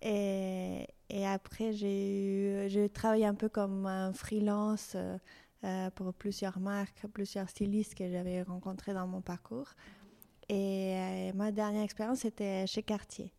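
Mexican woman, low creaky voice. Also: little pitch movement, unhurried, mellow. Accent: Mexican Spanish. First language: Spanish. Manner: hesitant, many fillers and restarts. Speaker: fashion designer, guest. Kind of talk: interview conversation.

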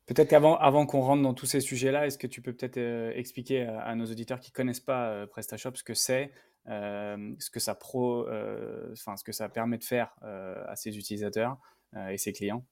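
A man's voice: medium pitch, level tone, normal speed, vocal fry, a little mellow, no room sound, clean studio sound. A man hosting a podcast, reading a scripted intro and then asking questions that trail off with fillers. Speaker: podcast host.

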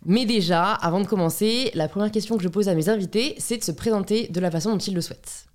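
A woman's voice: mid-range voice, fast, a little mellow. A woman in her twenties speaking as a podcast host and interviewer. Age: 20s. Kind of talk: scripted introduction and conversational interview.

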